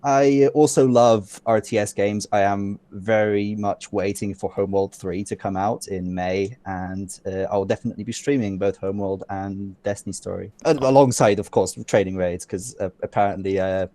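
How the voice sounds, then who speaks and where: A man's voice: lowish voice, fairly even intonation, moderate pace, few pauses, mellow, dry recording. Laughing, self-mocking male guest. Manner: laughs often, self-deprecating. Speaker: podcast guest, raid trainer. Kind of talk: casual podcast chat.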